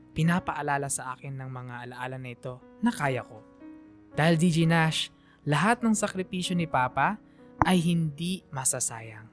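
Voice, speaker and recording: A woman's voice: sits low, lightly acted. An actress playing a resilient, wistful young daughter narrating her family's story as a scripted letter. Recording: music behind the voice.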